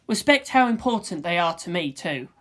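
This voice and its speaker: Woman doing a shy caring teen boy, earnest, kinda low voice, fanfic audiobook. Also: sing-song, normal speed, a bit bright. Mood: earnest, tender. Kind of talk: character voice in fan fiction reading.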